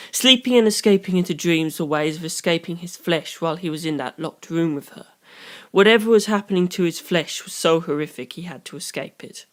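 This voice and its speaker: A reader voicing a calm, analytical young detective in an audiobook, speaking in measured, formal, deliberate phrases. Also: mid-range voice, natural voice. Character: Tsukumajuku, calm analytical young detective explaining grim theories.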